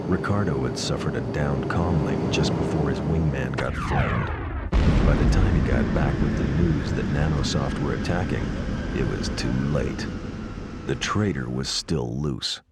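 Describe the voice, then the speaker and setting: An actor playing a cynical, world-weary police pilot narrating a noir-style video game story in a measured, wry way. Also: male voice, a bass voice, very noisy.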